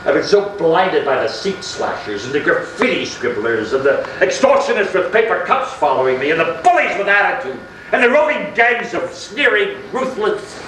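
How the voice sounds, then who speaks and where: A man's voice: sits high, big pitch swings, normal speed, fairly bright tone, far from the mic. A man acting in a stage play as a menacing, unhinged middle-class man, theatrical and sarcastic, ranting with mock politeness. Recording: echoey, noisy stage recording from afar.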